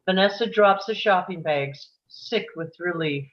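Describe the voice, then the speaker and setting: Female narrator for stage directions, slightly low pitch, scripted read, a bit lo-fi. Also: a little slow.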